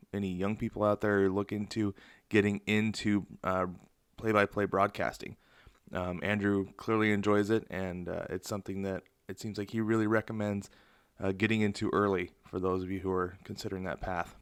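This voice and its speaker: A man with an American accent hosts a casual podcast. His voice sits on the low side, and the sound is very dry, with hardly any room on it. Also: fairly bright tone, clear, resonant tone, no room sound. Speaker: podcast host. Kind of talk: casual interview and sign-off chat.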